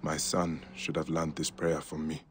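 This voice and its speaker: An actor playing a solemn, determined Egyptian warrior with a grave, deliberate delivery in a game cutscene. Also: male voice, very deep voice, little pitch movement, noisy background. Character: solemn, determined Egyptian warrior protector, grown man, a father. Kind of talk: dramatic game cutscene acting.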